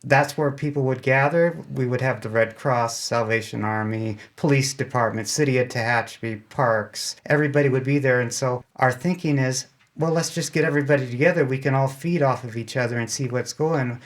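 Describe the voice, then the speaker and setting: A man's voice: mid-range voice, brisk, few pauses. A man with a mid-range voice talks as a guest on an interview show. He explains casually and rambles a little, often restarting a sentence halfway through. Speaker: podcast guest, amateur radio club spokesman.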